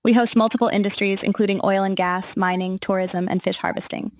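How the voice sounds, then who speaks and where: A woman's voice: mid-range voice, fairly even intonation, a little fast, narrow, tinny audio, slight background noise. A woman's voice as an AI assistant, giving scripted, formal informational answers in a customer-service manner.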